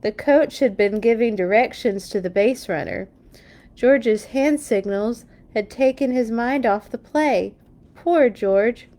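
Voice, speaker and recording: A woman reading a children's story aloud in an expressive, playful way for young listeners. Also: fairly high pitch. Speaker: children's read-along storyteller. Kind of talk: storybook read-aloud with animated asides.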